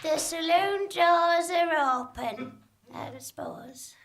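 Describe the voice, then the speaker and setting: Woman as glum, high-voiced saloon computer. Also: lively intonation, takes it slowly, a bit bright, full character voice. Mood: glum, hesitant. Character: glum, barely functioning saloon computer, dryly resigned. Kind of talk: comic stage radio play. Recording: roomy live theatre sound.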